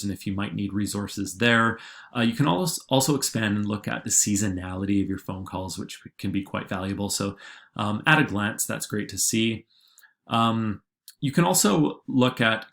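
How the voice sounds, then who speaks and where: A man giving an informal software tutorial as a presenter, explaining in a halting way with frequent filler words. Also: on the low side, vocal fry. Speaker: digital marketing agency presenter.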